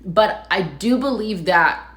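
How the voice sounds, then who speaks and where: A woman's voice: medium pitch, animated, slow, fairly bright tone, roomy sound. A woman hosting a casual livestream, chatting with viewers, laughing often and reading their comments aloud. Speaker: livestream host.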